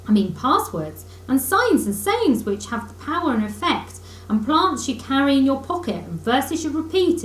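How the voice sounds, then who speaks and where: A woman voicing a good-natured, capable male river rat in a children's storybook, with a brisk, chummy, reassuring manner. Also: fairly high pitch, roomy sound, low-quality recording.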